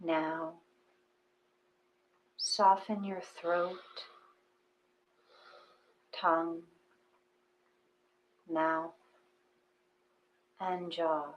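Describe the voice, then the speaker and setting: Slow, low-pitched woman guiding meditation. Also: big pitch swings, creaky, highs cut off. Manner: gentle, unhurried, with long pauses. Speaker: meditation guide. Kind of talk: slow guided meditation reading.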